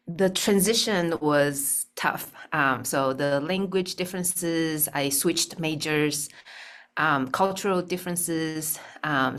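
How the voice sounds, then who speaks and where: Soft-spoken woman from Taiwan on a call. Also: low-pitched. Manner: thoughtful, many ums and pauses. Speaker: guest, speech-language pathologist. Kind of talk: casual interview talk. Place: bright room indoors. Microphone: video call.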